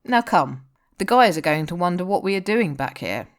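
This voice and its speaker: A blunt rock singer who teases and looks after his bandmate , played by a woman reading fiction aloud. The character dialogue is pitched somewhat above plain narration. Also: animated, normal speed. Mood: reassuring. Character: adult male rock singer, blunt, teasing, caring bandmate. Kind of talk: audiobook-style character dialogue.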